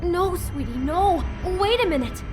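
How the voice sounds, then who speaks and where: An actress playing a young wife who works a hotel front desk, pleading and insistent. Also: female voice, extremely high pitch, animated, unhurried. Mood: pleading, frantic. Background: game score music.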